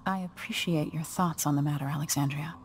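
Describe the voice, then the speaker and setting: An actress playing a young exiled empress in a video game, grave, resolute and restrained, speaking in a measured way. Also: female voice, sits low, steady tone, a little hiss or hum, close to an everyday voice. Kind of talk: video game narration and dialogue.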